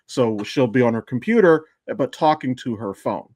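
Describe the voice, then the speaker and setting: A man with a mid-pitched voice talks casually on a podcast. He tells his story in a loose, digressive way, circling off and back again. The sound is dry, with little room in it. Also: animated, moderate pace, a little mellow, no room sound. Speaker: podcast co-host and amateur voice actor. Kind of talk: casual chat.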